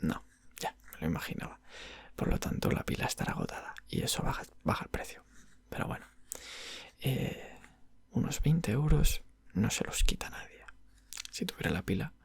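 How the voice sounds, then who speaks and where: A man with an accent from Spain playing a patient, polite video game shop clerk in a soft-spoken, whispery ASMR roleplay, murmuring unhurriedly close to the microphone. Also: on the low side, huge pitch swings, no room sound, exaggerated voice. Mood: calm, explanatory. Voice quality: breathy, whispery. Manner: unhurried, murmuring, hesitant phrasing.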